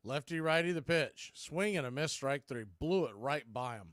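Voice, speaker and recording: A man calling a baseball game live in radio play-by-play style, in short clipped phrases between pitches. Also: expressive, moderate pace, fairly bright tone, no echo, studio quality. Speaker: play-by-play baseball announcer and stream host.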